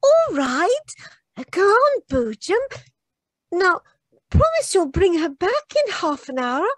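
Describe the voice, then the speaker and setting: A woman's voice: very high voice, sing-song, slow, dry recording, heavily acted. A woman playing a sweet, earnest little girl in a radio play, with an artless, careful manner. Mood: innocent, earnest. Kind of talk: old-time radio mystery acting.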